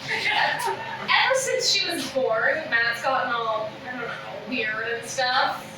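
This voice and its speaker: A woman playing a needy, excitable former child TV star, gushing and insistent, in a live comedy table read. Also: sits high, slow. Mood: bitter. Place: live reading in an echoey room. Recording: very echoey room, distant mic, background noise.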